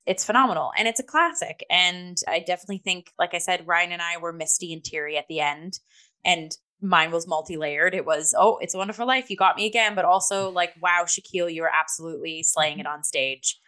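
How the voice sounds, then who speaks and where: A woman's voice: on the low side, brisk, pushed and bright. A woman casually reviewing theatre as a show host, in an enthusiastic, emphatic way.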